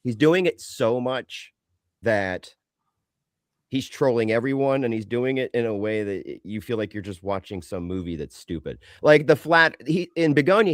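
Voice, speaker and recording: A man's voice: mid-range voice, dry recording, studio quality. A man co-hosting a podcast, chatting casually in a rambling, opinionated way and swearing freely. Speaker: podcast co-host. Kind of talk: casual chat.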